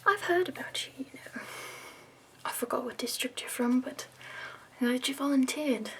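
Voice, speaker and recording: A woman in her twenties voicing a prim, fussy, upbeat woman makeup escort from the capital, in a soft whispered ASMR roleplay. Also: high-pitched, normal speed, slight background noise. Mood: prim, soothing.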